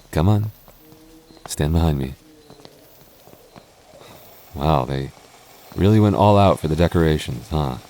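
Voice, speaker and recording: A man's voice: sits low, a little mellow, a little hiss or hum, close to an everyday voice. A man playing a stammering goth boyfriend. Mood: nervous, teasing. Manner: stammering, repeating words. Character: nervous young goth boyfriend, tender and protective. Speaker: voice actor. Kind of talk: ASMR boyfriend roleplay.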